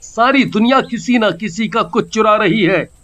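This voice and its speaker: A man voicing a male phone seller and thief in a cartoon, talking loudly and frantically. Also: normal speed. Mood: defiant. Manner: high, loud and frantic.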